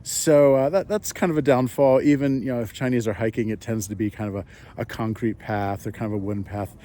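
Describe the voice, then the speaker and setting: A man's voice: mid-range voice, steady tone, brisk, mellow. A man, a father, talking in an easygoing way to the camera from his apartment. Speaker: parent interviewee. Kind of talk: casual talk to camera. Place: apartment in a city.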